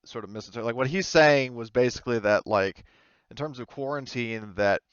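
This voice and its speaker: A man's voice: medium pitch, brisk, a bit bright, clean tone, dry recording, lo-fi sound. A man narrating a tabletop game casually as game master, in a hesitant, rambling way.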